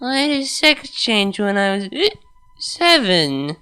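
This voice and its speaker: A woman acts out a drunken, giggly female cartoon pony for a story reading. She pitches high and swings about, slurring and stretching her breathless words, rambling crudely. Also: very animated, takes it slowly, sharp tone, clean tone, faint music behind, exaggerated voice. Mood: drunk, confiding.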